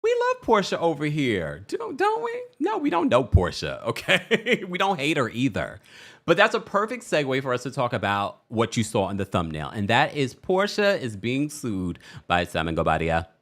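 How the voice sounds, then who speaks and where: A man hosting a casual celebrity news stream, chatty and animated, with a deep voice and frequent laughs. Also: moderate pace. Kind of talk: casual commentary livestream.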